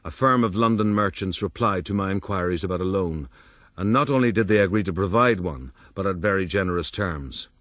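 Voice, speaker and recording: Roguish gentleman narrator, low voice, florid, boastful yet rueful, dry close recording, period radio drama. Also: fairly quick, dry recording. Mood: measured recounting. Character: proud, roguish Irish gentleman narrating his own downfall.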